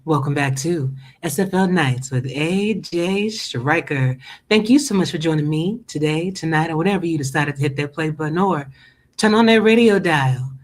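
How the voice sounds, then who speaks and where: Brief: man, show host, hoarse voice, upbeat and drawling. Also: fairly high pitch, normal speed. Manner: upbeat, drawling, many ums. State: losing his voice. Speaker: podcast host. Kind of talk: casual chat show hosting.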